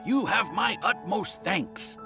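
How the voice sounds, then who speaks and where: A man's voice: high-pitched, animated, takes it slowly, creaky, music in the background, heavily acted. A man voicing an effusive, obsequious, businesslike landlord in a video game, speaking with oily politeness. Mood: grateful.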